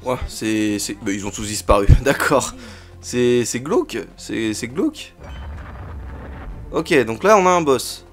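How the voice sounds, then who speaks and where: A man's voice: mid-range voice, animated, a little slow, soft background music. A man with a cold casually commenting on a game he is playing, chatty and full of fillers and interjections. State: has a cold. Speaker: let's play host.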